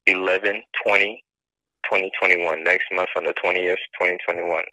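A man working as a debt collection agent on a phone call, giving a scripted pitch in an insistent, repetitive, formal manner. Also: level tone, normal speed, bright, forceful tone, no echo. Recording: phone line. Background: telephone call.

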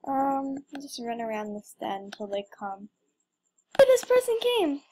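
A woman's voice: high voice, sing-song, normal speed, mellow, a little hiss or hum. A young woman giving casual, playful game commentary with mock drama and exclamations. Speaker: gamer recording gameplay.

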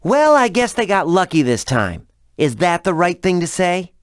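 A man's voice: high-pitched, sing-song, normal speed, dry recording, studio quality. A man voicing a cheerful, joking young male dragon hero in a cartoon video game. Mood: upbeat. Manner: breezy, joking.

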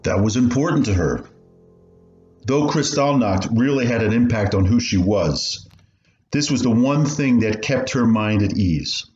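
A man acting an elderly refugee recalling his mother in a measured, reflective way for a radio play. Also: medium pitch, expressive, a little mellow, dull audio, soft background music, natural voice. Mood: fond, reflective.

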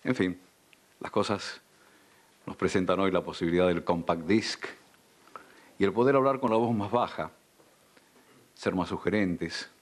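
An older man with an Argentine accent speaks in a deep register. He has the measured, polished delivery of a veteran radio announcer, sharing memories in a studio interview. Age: older, around sixties. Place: dark television studio.

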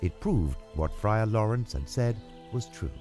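Low-voiced, expressive male storyteller. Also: measured pace. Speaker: narrator. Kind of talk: dramatic scripted storytelling. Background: music.

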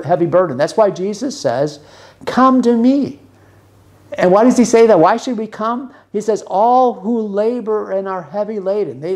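A man's voice: high-pitched, a little mellow. A man in his sixties, a podcast host teaching a Bible lesson in an earnest, plainspoken way and restarting words as he explains, recorded in a small room. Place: small room with music gear behind.

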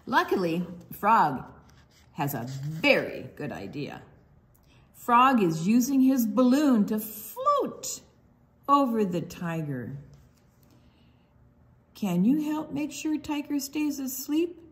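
A woman reads a picture book aloud to small children. Her pitch moves widely and expressively. She takes her time, stretching words out and dropping into hushed asides. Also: sing-song, takes it slowly, pauses often. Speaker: children's storytime reader.

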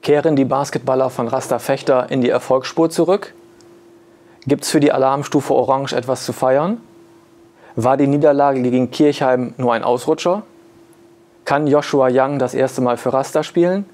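A man's voice: mid-range voice, steady tone, moderate pace, darkish tone. Man giving a scripted sports preview. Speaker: sports editor. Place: newspaper office, indoors. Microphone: lapel.

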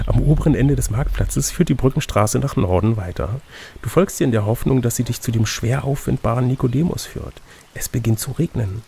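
A man's voice: medium pitch, fairly quick, creaky, dark tone, slight background noise. A man acting as reader and game master, reading an adventure gamebook aloud in a conversational way.

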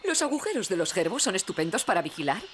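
A woman's voice: medium pitch, very animated, quick pace, a little hiss or hum, lightly acted. A woman voicing a cheerful, knowing female gnome in a dubbed cartoon, explaining things expressively. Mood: lively, knowing.

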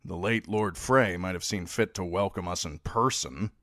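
A man's voice: low-pitched, normal speed, a bit bright, dry recording, studio quality. A man voicing a proud young lord who talks in a petulant, aggrieved way.

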